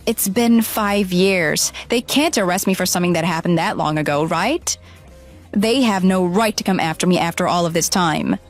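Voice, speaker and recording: An actress playing a deceitful runaway bride in an audio drama, pleading, flustered and defensive. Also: female voice, fairly low pitch, normal speed, soft background music, close to an everyday voice. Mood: panicked. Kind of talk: dramatized phone dialogue.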